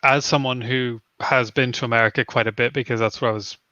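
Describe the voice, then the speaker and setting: A man's voice: mid-range voice, fairly even intonation, fast talker, pushed and bright, dry recording. A young man chatting casually as a stream guest, full of fillers. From Northern Ireland. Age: young adult. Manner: rambling with many fillers. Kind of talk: casual chat. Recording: dull, slightly lo-fi mic.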